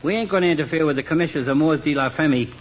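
Man as slangy small-town barber, high animated voice, folksy wisecracker, very noisy old radio comedy recording. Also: rapid-fire, highs cut off, natural voice. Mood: joshing. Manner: slangy, informal. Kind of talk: 1950s radio comedy acting.

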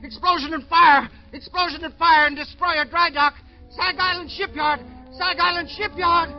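An actor playing an excited, urgent man calling out news in an old radio drama. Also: very high-pitched, moderate pace. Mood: panicked. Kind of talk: 1930s-40s radio drama acting. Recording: muffled old radio recording with music.

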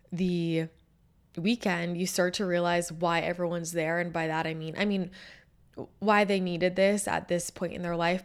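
A woman in her thirties hosting her own show, hoarse and a little scratchy after losing her voice, talking in an upbeat, chatty way. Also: fairly low pitch. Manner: chatty and upbeat, with laughs and fillers. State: hoarse, recovering from lost voice. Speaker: podcast host. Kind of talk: casual solo chat.

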